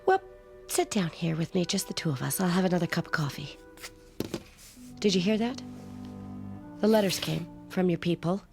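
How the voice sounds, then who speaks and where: Radio drama housewife: grieving, anxious, clinging. Hushed, halting delivery with very mobile pitch. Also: female voice, very animated, creaky, over music. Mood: tender, wistful. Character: anxious grieving housewife, possessively tender toward foster girl. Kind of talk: naturalistic radio drama acting.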